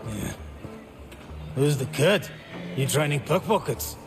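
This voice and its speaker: A man playing a gruff, sarcastic, defiant dwarf craftsman in a video game, with a blunt delivery. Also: fairly high pitch, lively intonation, takes it slowly. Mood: sardonic. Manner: sardonic, blunt. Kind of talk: video game cutscene acting. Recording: clear music behind.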